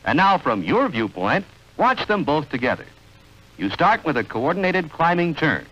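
A man's voice: fairly high pitch, very animated, a bit bright. Dull vintage soundtrack, male film narrator. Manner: measured, instructional, clearly enunciated. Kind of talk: 1940s instructional film narration, scripted.

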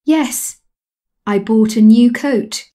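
Slow, mellow woman repeating drill lines. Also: a little high. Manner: calm, measured repetition of phrases. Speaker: English lesson voice. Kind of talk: slow scripted language drill.

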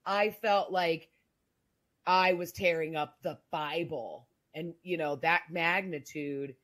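A woman with a very low voice telling her personal story in a podcast conversation, reflective and halting with many restarts. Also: a bit bright. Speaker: guest sharing her story.

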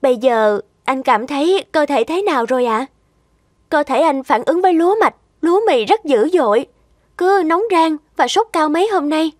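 Cartoon wife, middle-aged: affectionate, worried, soothing, high register, close dry sound. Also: female voice, expressive, normal speed, no room sound. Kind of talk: animated moral-tale cartoon dubbing.

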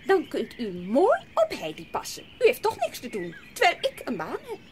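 An actress playing a brisk, insistent, hard-hearted aunt in a Dutch audio drama. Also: female voice, high voice, huge pitch swings, slight background noise. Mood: impatient.

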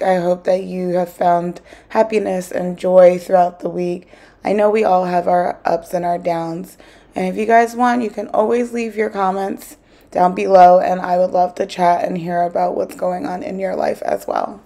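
Woman, American accent, casual vlog chatter, lots of "like", encouraging, slightly low voice. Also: fairly even intonation, moderate pace, mellow. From South Jersey, USA. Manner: chatty, encouraging, with many "like" fillers. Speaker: vlogger, stay-at-home mom. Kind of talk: casual vlog chat to viewers. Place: parked car, then home.

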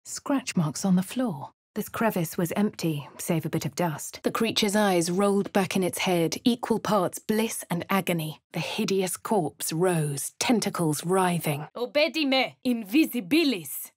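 An actress with a posh English accent voicing a plucky female adventurer hero for a video game, crisp and well-spoken, close to a studio mic. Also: medium pitch, animated, measured pace, hardly any pauses, no room sound. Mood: incanting. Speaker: player character.

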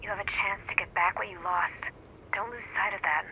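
A woman's voice: medium pitch, steady tone, brisk. A stern female agent speaks through a radio earpiece, blunt and firm. The transmission sounds dull and lo-fi, with faint noise underneath.

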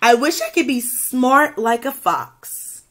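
A woman's voice: sits high, lively intonation, slow pace, slight background noise, pitched above the performer's own voice. A woman voicing a small, discontented chameleon in a picture book, wishing wistfully to be like other animals.

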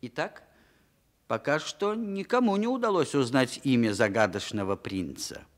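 A man's voice: mid-range voice, animated, moderate pace. A man narrating a scripted radio play as its storyteller. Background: music.

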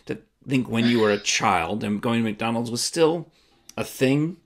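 A man's voice: medium pitch, a little slow. A man in his fifties hosting a casual chat from home, joking and laughing often. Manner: animated, joking, laughs a lot. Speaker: host. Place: home room, indoors. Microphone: desk camera framing.

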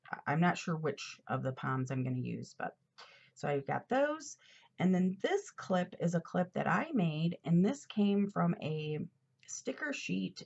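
A low-voiced, middle-aged woman talks slowly and chattily with a gravelly, husky edge, sounding a little congested. Her voice comes through a somewhat dull, lo-fi recording. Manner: chatty, rambling, with fillers and asides. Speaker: planner vlogger. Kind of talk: casual chat while decorating a planner. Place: at a desk indoors. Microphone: overhead camera.

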